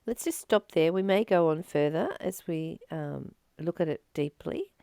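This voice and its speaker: A woman reading aloud and reflecting on spiritual teachings in a deliberate, emphatic way, with pauses between phrases. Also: deep voice, warm tone, no room sound, clean studio sound. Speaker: host of a spiritual reading channel.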